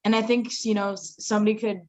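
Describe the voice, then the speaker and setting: A woman's voice: mid-range voice, flat delivery, fast talker, dark tone. A woman chatting casually as a participant in a group meeting. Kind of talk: casual chat.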